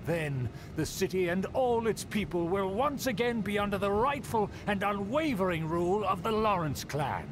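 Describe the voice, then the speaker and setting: An actor playing a haughty, scheming aristocrat in a video game, speaking in formal, pompous, theatrical phrases. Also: male voice, high voice, lively intonation, normal speed, hardly any pauses, noisy background. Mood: grandiose. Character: haughty, scheming aristocrat man craving his clan's rule. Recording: game audio with music behind.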